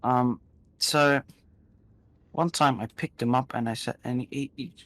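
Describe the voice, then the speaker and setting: A man telling improvised stories casually, rambling and halting, often repeating his phrases. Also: mid-range voice, fairly even intonation, fairly bright tone, dry recording. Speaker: storyteller in a gaming video. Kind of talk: casual improvised storytelling while gaming.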